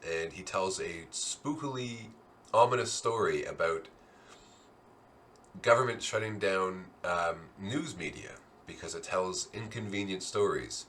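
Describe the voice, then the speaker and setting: Low-voiced, easygoing male interviewer. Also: a little hiss or hum. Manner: relaxed, friendly, with frequent short affirmations. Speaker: interview host. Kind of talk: casual interview.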